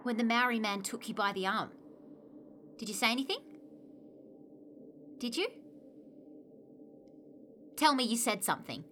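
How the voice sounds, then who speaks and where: An actress playing a man's sharp, challenging partner in probing, sceptical, insistent drama dialogue. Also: fairly high pitch, unhurried, long pauses. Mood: urgent, angry. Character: sharp, challenging wife or girlfriend of sacked worker. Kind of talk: dramatic stage-play dialogue. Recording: noticeable background noise.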